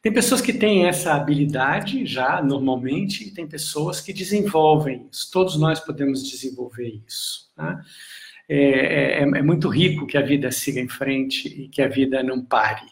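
A man, a breathing and meditation instructor, giving a reflective live talk with many pauses and restarts while answering viewer questions. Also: medium pitch. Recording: echoey room.

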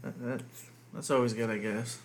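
Rambling, foul-mouthed young dad. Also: male voice, mid-range voice, level tone, moderate pace. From United States. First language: Spanish. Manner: profane, rambling, heavy on fillers. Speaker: podcast co-host. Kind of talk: casual podcast chat.

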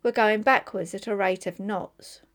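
A woman's voice: mid-range voice, steady tone, measured pace. A woman volunteer reader reading a newspaper opinion column aloud for listeners.

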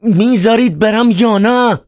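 A man reading aloud and voicing a frantic young male poet held in an asylum, his voice pushed high and shrill. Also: expressive, dry recording, studio quality. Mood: shouting.

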